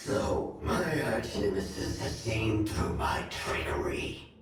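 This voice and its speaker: A man's voice: lowish voice, big pitch swings, extremely slow, heavily acted. A man voicing a sinister, gloating nightmare spirit in a video game, theatrical and grandiose. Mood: gloating menace. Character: sinister male nightmare spirit, scheming arch-villain, gloating. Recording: heavy echo, distant, loud game music.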